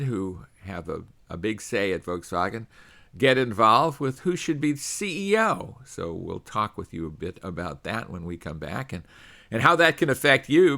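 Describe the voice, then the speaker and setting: A middle-aged man hosting a radio show in a bright, forceful voice. He talks in a loose, conversational way, often pausing on uh. It sounds like a studio microphone. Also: mid-range voice, creaky. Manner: conversational, with frequent uh fillers.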